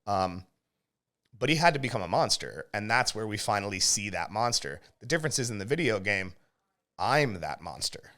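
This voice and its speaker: Low-pitched man explaining his opinions emphatically. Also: sing-song, moderate pace, bright, forceful tone. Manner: emphatic, explains at length, checks with "right?". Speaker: podcast host and game reviewer. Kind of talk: casual opinionated review chat.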